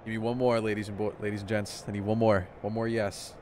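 A young man on a headset mic, chattering excitedly to his stream chat while playing. His voice sits low and easygoing, with a faint hum behind it. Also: quick pace. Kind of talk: casual live-stream commentary. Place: small room indoors.

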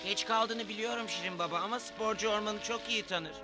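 A man's voice: high-pitched, brisk, lightly acted. A man voicing an earnest little craftsman smurf in a cartoon dub. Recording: music under the voice.

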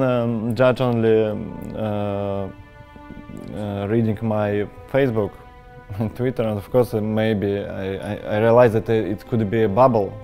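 A man with a Russian accent speaking English in an interview, halting and searching for words. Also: lowish voice, a little mellow. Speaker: interviewee, film editor. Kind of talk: sit-down interview answers. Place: indoor studio space.

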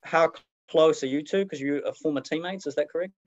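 A man's voice: fairly high pitch, fairly quick. Man asking questions, dull sound. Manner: plain, direct questioning. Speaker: reporter. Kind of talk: press conference questions.

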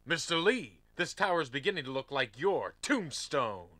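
A man's voice: on the high side, very animated, moderate pace, bright, forceful tone, dry recording, exaggerated voice. A man voicing a cocky hero agent in a game cutscene, delivering smug wisecracks.

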